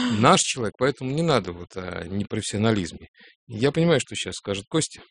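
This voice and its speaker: Male radio host, Moscow accent, teasing and animated banter. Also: fairly low pitch, brisk. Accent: Moscow Russian. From Moscow, Russia. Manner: animated, jokey, teasing, laughs. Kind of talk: casual on-air chat.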